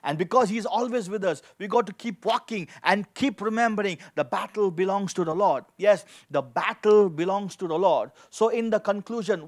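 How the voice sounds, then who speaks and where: A man in his forties preaching a sermon as a pastor, emphatically, repeating key phrases for effect. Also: sits high. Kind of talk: sermon with scripture reading and prayer. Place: indoor studio with dark backdrop, at a lectern. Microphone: handheld microphone.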